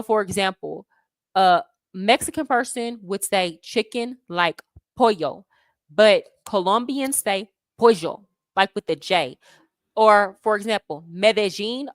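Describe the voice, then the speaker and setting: Young American woman, livestream host, slangy and animated, mid-pitched, dry close sound. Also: no room sound. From United States. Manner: chatty and slangy, animated asides. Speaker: livestream host answering chat. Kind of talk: casual livestream chat. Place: home abroad.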